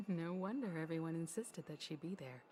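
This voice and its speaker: A woman playing a composed, gracious navigator in a game, with a polite, assured and gently knowing manner. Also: low-pitched, expressive, normal speed, a little hiss or hum. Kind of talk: scripted game dialogue. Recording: clear music behind voice.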